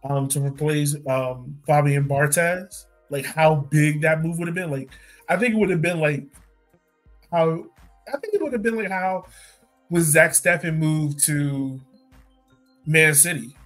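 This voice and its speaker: A man's voice: on the high side, unhurried, fairly bright tone, soft background music. A man hosting a casual sports podcast, talking in an informal, enthusiastic way. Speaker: podcast host.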